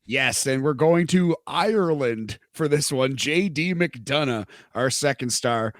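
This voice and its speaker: A man's voice: medium pitch, expressive, unhurried, no room sound, clean studio sound. A man co-hosting a wrestling podcast in casual chat. He laughs easily, stammers and repeats words. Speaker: podcast co-host.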